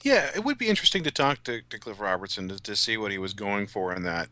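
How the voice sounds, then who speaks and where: Middle aged guy chatting on a podcast, bright crisp edge, really dry sound. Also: lowish voice, lively intonation, fast talker, dry recording. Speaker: podcast co-host. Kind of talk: casual episode discussion.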